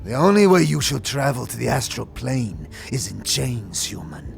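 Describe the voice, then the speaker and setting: Gravelly, haughty githyanki warrior leader. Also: male voice, mid-range voice, expressive, moderate pace, faint music behind. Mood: menacing. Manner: contemptuous, commanding, archaic formal speech. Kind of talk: fantasy audio drama acting.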